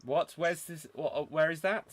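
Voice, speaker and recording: A man's voice: fairly high pitch, normal speed, a bit bright, no room sound. A man hosting a live-streamed improv game over a video call, bubbly and teasing, and often laughing. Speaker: improv show host.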